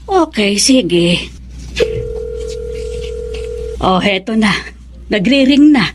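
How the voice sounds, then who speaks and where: A woman's voice: mid-range voice, animated, very slow, background noise. An actress playing a caring elderly grandmother in a Filipino radio drama. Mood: gentle. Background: music.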